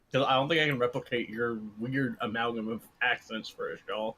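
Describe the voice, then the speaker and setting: A man playing a tabletop game on a live stream, teasing and quick to laugh. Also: mid-range voice, steady tone, pushed and bright, poor audio. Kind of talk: joking tabletop game banter. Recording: faint background noise.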